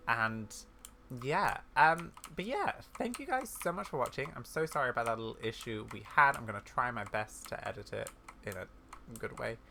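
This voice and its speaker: A man hosts a gaming video in a bright, forward voice. He talks casually and effusively, chatting to his viewers. Faint noise and keyboard clatter sit behind him. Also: medium pitch, expressive, normal speed, creaky. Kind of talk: casual gameplay commentary.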